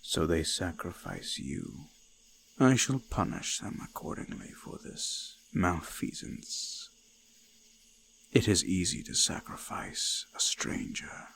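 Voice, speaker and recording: A man voicing an ancient, menacing forest god in slow, archaic, deliberate speech that often sinks to a gravelly whisper, in a folk horror roleplay. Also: deep voice, sing-song, a bit bright, slight background noise, heavily acted, rough recording. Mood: cold menace. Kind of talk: scripted immersive roleplay monologue, folk horror. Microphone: close binaural microphone.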